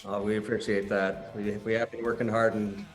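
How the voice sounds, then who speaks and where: A man's voice: lowish voice, level tone, a little fast. Laid back guy from a band talking in an interview, faint music behind. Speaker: band member guest. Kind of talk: casual interview chat.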